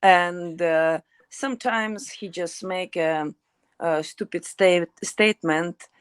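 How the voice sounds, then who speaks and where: A woman's voice: fairly low pitch, slow pace, clean tone, no echo. Blunt woman with a Ukrainian accent. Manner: blunt and outspoken, broken English. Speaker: guest fighter. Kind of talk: casual interview.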